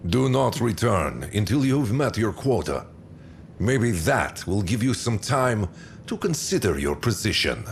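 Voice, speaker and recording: Deep-voiced stern field marshal, swinging pitch, cold game commander. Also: male voice, sing-song, vocal fry, a little hiss or hum, full character voice. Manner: curt, measured, menacing. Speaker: military commander giving orders. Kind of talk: video game cutscene acting.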